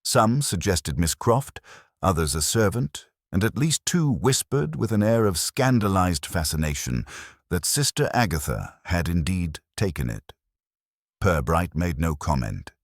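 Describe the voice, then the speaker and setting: Very deep-voiced male mystery narrator. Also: sing-song, measured pace, no room sound, studio quality. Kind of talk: scripted narration of a mystery story.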